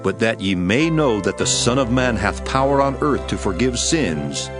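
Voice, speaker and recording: A man acting a calm, authoritative holy teacher in a dramatized scripture reading, speaking in a measured, solemn and commanding way. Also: on the low side, lightly acted. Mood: challenging. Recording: music behind the voice.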